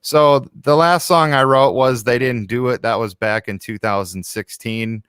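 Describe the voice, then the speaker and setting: A man with a clear, smooth voice talks casually as a guest on a live stream. His delivery rambles a little and hesitates, at a middling pitch, and the sound is dry and close. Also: steady tone, unhurried, a bit bright, dry recording. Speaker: musician guest.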